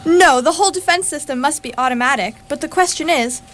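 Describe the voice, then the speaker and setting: A woman's voice: sits high, a little fast, fairly bright tone. A woman playing a brash, thrill-seeking spaceship captain in comic radio theatre, dismissive and commanding. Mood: cocky, excited. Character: cocky, thrill-seeking spaceship captain chasing fame and money. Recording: noisy live stage recording.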